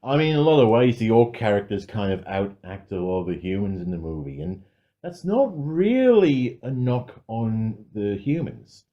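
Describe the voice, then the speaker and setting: A man's voice: fairly low pitch, very animated, normal speed, highs cut off. A man co-hosting a podcast, reviewing films in an informal, self-correcting way. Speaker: podcast co-host. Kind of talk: casual film review chat.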